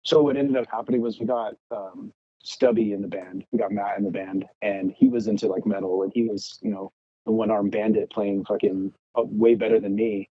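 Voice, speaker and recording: A man's voice: lowish voice, brisk, breathy edge, dry recording, muffled recording. A man telling stories casually as a podcast guest, swearing freely and acting out the things people said. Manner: profane, animated re-enacting of past arguments. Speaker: podcast guest, punk rock guitarist. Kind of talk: casual storytelling chat.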